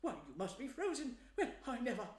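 Storybook badger, elderly male a woman plays him: gruff, fatherly, blustery, heard in an echoey room. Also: fairly high pitch, sing-song, a little fast, a little mellow, pitched above the performer's own voice. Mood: warm surprise. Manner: paternal, blustery.

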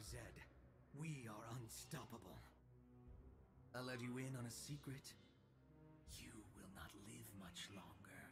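A man voicing an arrogant, ruthless, brooding shadow assassin in a video game, with cold, measured, menacing proclamations. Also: lowish voice. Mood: taunting, threatening. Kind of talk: theatrical video game voice lines. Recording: game clips over loud music.

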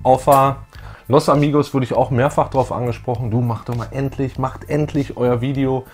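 A middle-aged man chats as the host of a fan show, joking and colloquial. His voice sits at an ordinary male pitch, with music playing behind him in a small room. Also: fairly even intonation, normal speed. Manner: jokey, colloquial. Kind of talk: casual fan chat. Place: small room full of posters and collectibles, on a couch.

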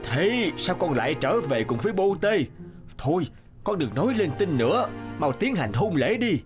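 An old king in a fairy-tale cartoon. He is stubborn and authoritative, giving imperious orders. Music sits clearly under the voice. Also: fairly high pitch, big pitch swings, normal speed. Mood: stern.

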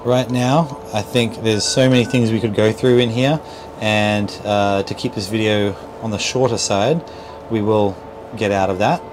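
A man's voice: lowish voice, steady tone, measured pace, noisy background. A middle-aged man giving an informal, step-by-step how-to demonstration as an instructor, often checking in with the listener. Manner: explanatory, step-by-step, checks in with "right?". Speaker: boat dealer instructor. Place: small boat cabin at a marina. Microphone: clip-on lavalier mic.

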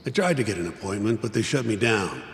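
A jaded middle-aged male lawyer from a game cutscene, blunt and lecturing. His lowish voice moves up and down a lot. It rings in an echoey, reverberant space. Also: animated, fast talker, creaky, slight background noise. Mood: matter-of-fact. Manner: blunt, world-weary, lecturing. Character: jaded, exasperated older male defense lawyer. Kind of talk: video game cutscene acting. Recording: echoey hall reverb, music behind.

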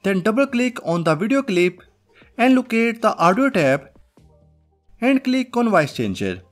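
A man presenting a software tutorial as host, reading a scripted narration. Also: high-pitched, sing-song, a little slow. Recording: music behind the voice. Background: background music.

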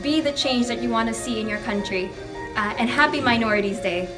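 A woman's voice: a little high, fairly quick, distant mic, music in the background. A young woman from the USA and the Philippines giving an encouraging message to camera in a hallway. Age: young adult. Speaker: youth delegate. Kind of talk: recorded greeting to camera.

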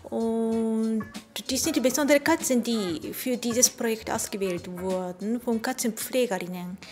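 A middle-aged woman from Japan with a Japanese accent, answering interview questions in halting phrases. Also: a little high, a little mellow, soft background music. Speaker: café owner. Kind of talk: on-camera interview. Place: indoor café interview. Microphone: handheld interview microphone.

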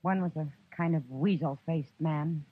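A woman's voice: low voice, a little slow, slightly rough. Frontier saloon woman, American, direct and caring, phone-thin vintage radio drama sound. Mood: concerned. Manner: direct, concerned. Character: concerned, plainspoken saloon woman of the Old West. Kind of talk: 1950s radio western acting. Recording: tinny old radio recording, faint music.

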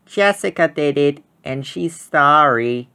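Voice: mid-range voice, lively intonation, takes it slowly, bright, forceful tone, clean tone, heavily acted. An earnest little girl of five from a storybook, as a narrator acts her out. She speaks simply and a little shyly. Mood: matter-of-fact.

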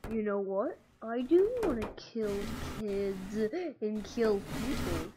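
A woman voicing an evil, murderous animatronic bear in a game roleplay, taunting slowly in a hushed way. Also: mid-range voice, expressive, background noise. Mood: gloating.

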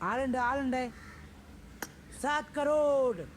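A man's voice: extremely high pitch, fairly even intonation, slow. A man delivering short catchphrases and callouts for a game voice pack.

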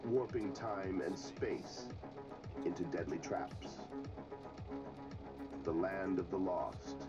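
Man as a very deep ominous trailer announcer. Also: slow. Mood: ominous, dramatic. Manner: ominous, grandiose. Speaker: trailer narrator. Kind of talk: dramatic movie trailer narration. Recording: dull audio under loud music. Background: loud music.

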